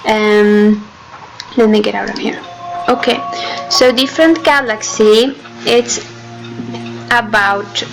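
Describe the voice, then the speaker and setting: A woman's voice: medium pitch, takes it slowly, gravelly, pauses often, music in the background, poor audio. A young woman from Chile with a Chilean accent, a native Spanish speaker hosting a casual livestream as a game developer and talking hesitantly with many fillers and giggles.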